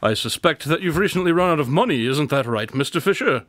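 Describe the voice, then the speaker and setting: A man plays a clever detective in a radio drama, smug and dryly formal. His fairly high voice moves widely in pitch, and the recording is dry and close. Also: animated, fast, a bit bright, dry recording. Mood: knowing, explanatory.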